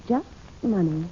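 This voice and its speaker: A muffled 1940s radio crime drama carries a scheming woman blackmailer. Her voice is low and swoops expressively, coy and insinuating with a brazen sweetness. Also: mellow, a little hiss or hum.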